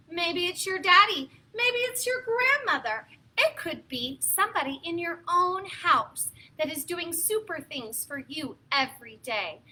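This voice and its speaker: A woman with a high, very bright voice speaks to small children as a story time host. She is expressive and encouraging, keeping her phrases simple as she reads and chats. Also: low-quality recording. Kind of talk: read-aloud and talk to young children.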